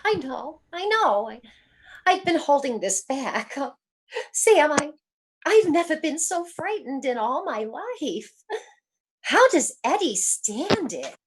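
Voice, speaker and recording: A genteel, naive mother acted by a woman in classic radio play style. The voice is very high and animated, chatty and fluttery, full of sweet innocence. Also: takes it slowly, heavily acted. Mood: shaken, tearful. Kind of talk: old-time radio drama acting.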